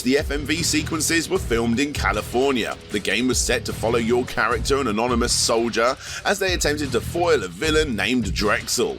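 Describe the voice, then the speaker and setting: A man delivering scripted documentary narration for a video essay, with wry asides. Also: medium pitch. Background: music bed.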